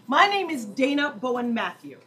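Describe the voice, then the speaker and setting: A woman's voice: high voice, sing-song, moderate pace, slight background noise. A woman dean giving a formal, emphatic welcome to a lecture audience from a podium, with dry asides. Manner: emphatic, warm ceremonial delivery with dry asides.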